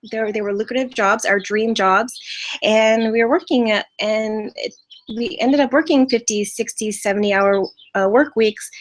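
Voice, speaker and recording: A woman's voice: fairly high pitch, rough recording. A woman in her thirties from California, hosting a live online training, chatting in an upbeat way with many fillers and restarts from a family home. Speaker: online business host. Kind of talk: live-streamed training talk.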